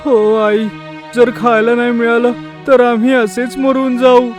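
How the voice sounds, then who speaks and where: An actor voicing a small, devoted young lion cub in an animated story, pleading in an earnest, childlike way. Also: medium pitch, a little slow, soft background music, natural voice. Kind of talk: animated story character voice acting.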